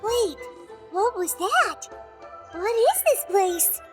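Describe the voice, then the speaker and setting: A woman voicing a tiny, excitable childlike fairy companion in a video game, with a squeaky voice and exclaiming manner. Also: drawn-out words, heavily acted. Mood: surprised. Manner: refers to self in third person, exclaiming. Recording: loud game music behind.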